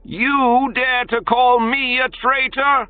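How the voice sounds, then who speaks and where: A man's voice: very high voice, little pitch movement, slow pace, clean tone, no echo, phone-quality sound, soft background music, pitched above the performer's own voice. A man voicing a haughty older woman who advises a duke and scolds soldiers. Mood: scornful.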